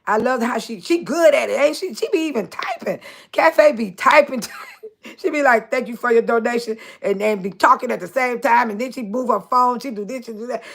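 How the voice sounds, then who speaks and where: A woman's voice: a little high, fairly quick, vocal fry. Older woman host, 60s, casual livestream banter, frequent laughter, talks straight to her audience. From Chicago area. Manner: laughing often, chatty, talking directly to viewers by name. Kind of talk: casual livestream chat reading viewer comments.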